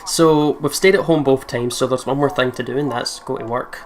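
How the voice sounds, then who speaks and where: A man's voice: mid-range voice, a little fast, a crowd in the background. A man with a Scottish accent, commentating casually on a game and reading its text aloud. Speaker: let's play commentator.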